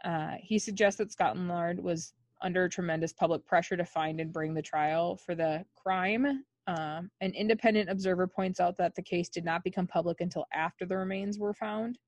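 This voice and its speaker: A woman's voice: lowish voice, steady tone, normal speed, hardly any pauses, a little mellow, no echo. A woman co-hosting a podcast, telling a true-crime story in a chatty, laughing way with lots of ums. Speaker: podcast co-host.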